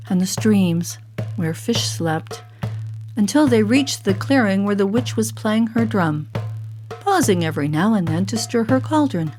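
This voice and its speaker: A woman narrates a children's storybook with theatrical care. Her pitch moves widely and her pace is slow and deliberate, with soft music behind her. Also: low-quality recording. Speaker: storyteller, voice actor.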